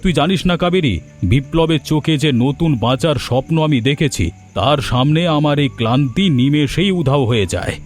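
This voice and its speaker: A man voicing a hardworking elder brother in a family cartoon drama, gentle and reassuring. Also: a little high, brisk, soft background music, lightly acted. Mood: earnest. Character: hardworking elder brother, tired but devoted.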